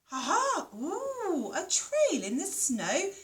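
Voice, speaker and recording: A woman's voice: very high-pitched, slow pace, pitched above the performer's own voice, full character voice. A woman voicing a small, curious and brave young girl monster in a children's storybook. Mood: excited. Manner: excited, wide-eyed.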